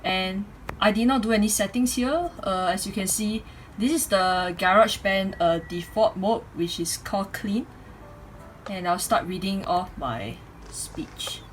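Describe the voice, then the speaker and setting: A young woman from Singapore with a Singaporean accent and a mid-pitched voice. She speaks as an amateur presenter on a home microphone, with clear noise behind her. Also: unhurried. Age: 20s. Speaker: amateur product reviewer. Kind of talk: casual microphone review with read-aloud speech samples. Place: room at home. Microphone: USB condenser microphone.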